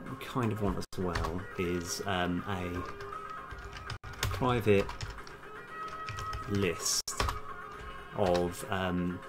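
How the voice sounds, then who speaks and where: A man with a fairly low voice talks himself through coding on a livestream, casual and halting, often muttering as he goes. Music plays clearly behind him, along with keyboard typing. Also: steady tone. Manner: halting, muttering to self while working. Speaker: game developer livestream host. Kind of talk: casual live coding commentary, thinking aloud. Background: music, computer keyboard typing.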